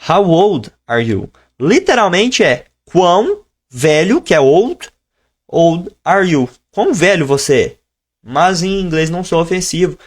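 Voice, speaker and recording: A man with a somewhat high voice teaches a live online lesson over a computer mic. He explains in a relaxed, chatty way, then draws out each example phrase slowly and clearly. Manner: switches between teaching tone and slow, drawn-out modeled phrases. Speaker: English teacher.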